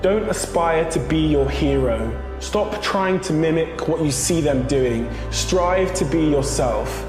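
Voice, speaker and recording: A young man speaks in a slightly high voice, earnest and rhetorical, with a steady and measured delivery. His voice rings with a long reverb, as if in a big room. Music plays underneath. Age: young adult. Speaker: motivational speaker. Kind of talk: scripted motivational speech.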